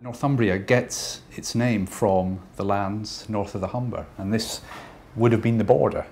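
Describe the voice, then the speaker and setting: A man from Scotland who is a painter, talking thoughtfully and unhurriedly about his work, with pauses and fillers. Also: lowish voice, vocal fry, a little mellow, a little hiss or hum.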